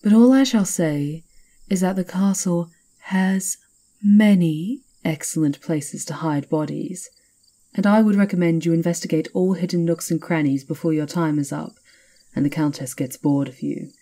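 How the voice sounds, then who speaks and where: Woman playing a dark, husky, sly witch. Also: on the low side, normal speed. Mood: teasing, sly. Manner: teasing, confiding, theatrical. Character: sly, knowing castle witch, teasing and conspiratorial. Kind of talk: ASMR roleplay.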